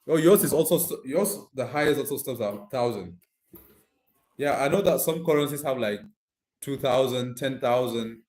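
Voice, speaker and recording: A man's voice: medium pitch, moderate pace, darkish tone. A man from Nigeria with a Nigerian accent chats casually and informally, addressing live viewers directly in slangy speech. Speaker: live streamer. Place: echoey room indoors. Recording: echoey room.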